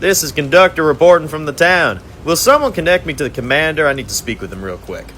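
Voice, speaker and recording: A man's voice: a little high, expressive, a bit bright, slight background noise, pitched above the performer's own voice. A man voicing a sadistic male villain who mocks and gloats while interrogating a prisoner. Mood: taunting. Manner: taunting, drawn-out mocking delivery.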